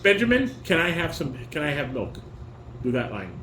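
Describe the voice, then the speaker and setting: A father directing a family table read, reading stage directions haltingly and correcting himself as he coaches. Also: a little high, sing-song. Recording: roomy, noisy home recording.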